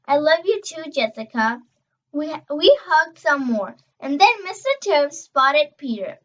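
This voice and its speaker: A young girl reading a children's novel aloud, expressive and emphatic on dialogue, stumbling over words now and then. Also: sits high, highs cut off. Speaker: reader.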